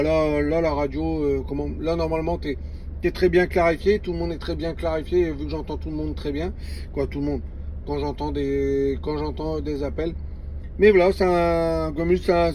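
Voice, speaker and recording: A man chatting casually over CB radio from inside a parked vehicle, often repeating his phrases in a halting way. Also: a little high, dark tone, slight background noise. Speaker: CB radio hobbyist filming a contact.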